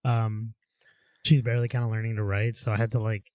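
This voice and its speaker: A young man co-hosting a casual podcast, rambling and animated as he tells stories. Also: fairly low pitch, a little fast, no room sound. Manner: rambling and animated, with upbeat storytelling. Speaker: podcast co-host. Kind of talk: casual chat.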